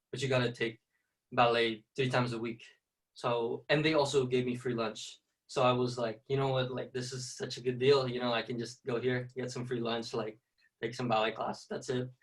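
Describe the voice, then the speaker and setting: A man's voice: mid-range voice, far from the mic, poor audio. A young man from the Philippines, a ballet dancer, talking casually in an interview with lots of fillers. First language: Tagalog. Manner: soft-spoken, many fillers and "you know".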